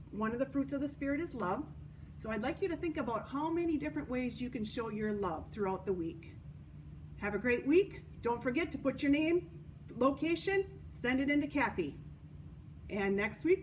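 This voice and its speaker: A woman hosting a children's story time at a church, reading and explaining in a deliberate way for young listeners. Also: high-pitched, lively intonation. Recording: distant microphone, noticeable background noise.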